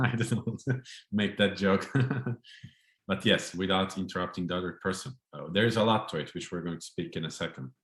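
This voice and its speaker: A low-voiced man runs a training session over a video call. He explains in a friendly way, his voice echoing in a roomy space. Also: a bit bright. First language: Turkish. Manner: friendly, explanatory, light laughter. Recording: roomy echo.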